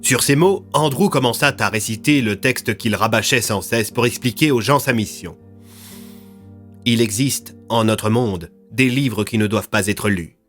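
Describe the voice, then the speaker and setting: A man's voice: on the low side, a little slow, fairly bright tone, faint music behind. A man narrating a scripted audio fiction serial as a dramatic storyteller.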